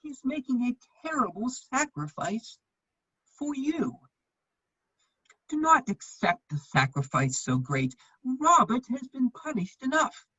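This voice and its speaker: A man playing a witty, loyal gentleman bachelor with a husky voice, declaiming earnestly in a theatrical reading of a period comedy. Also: very high voice, big pitch swings, slow, dry recording, dull audio, full character voice. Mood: urgent, pleading.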